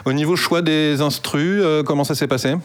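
A man's voice: a little high, steady tone, moderate pace. A man hosting an interview in a casual, conversational way, asking questions.

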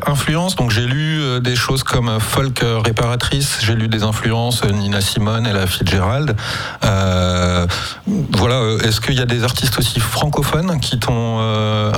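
Relaxed male radio host. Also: mid-range voice, faint music behind. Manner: relaxed, halting with fillers. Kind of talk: casual radio interview.

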